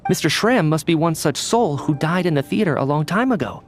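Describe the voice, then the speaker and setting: A man's voice: on the high side, lively intonation, a little fast, slight background noise, natural voice. An actor playing a clever, inquisitive man in a video game mystery, reasoning aloud in an earnest manner. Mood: earnest, deductive. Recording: game music behind the voice. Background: game music.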